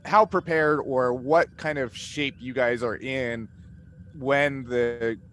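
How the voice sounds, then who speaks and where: A man chatting casually on a panel video call, explaining things in a halting, discursive way. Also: medium pitch, steady tone, fairly bright tone. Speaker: cast member and game master. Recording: noisy video call audio.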